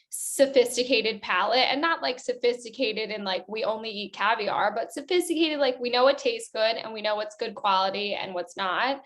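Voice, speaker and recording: A woman's voice: medium pitch, fairly bright tone. A woman in her twenties, a podcast guest, explaining her points in a casual way. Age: 20s. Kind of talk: casual interview chat.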